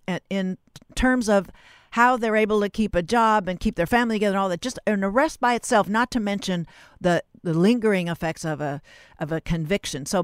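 A woman's voice: medium pitch, clear, resonant tone, dry recording, studio quality. A woman hosting an interview in a conversational style, discursive and often rephrasing her sentences mid-thought. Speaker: interview host.

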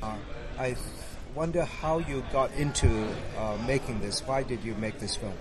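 A man hosting an informal interview, asking questions haltingly as he searches for words. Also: mid-range voice. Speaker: interviewer and show host.

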